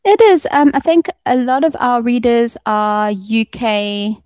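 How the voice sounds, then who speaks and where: A woman's voice: fairly high pitch, unhurried, darkish tone, smooth, no room sound. A woman magazine editor describing her publication in a casual interview, talking easily with frequent ums and restarts. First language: Afrikaans second language; first language English. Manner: friendly, fluent with frequent ums.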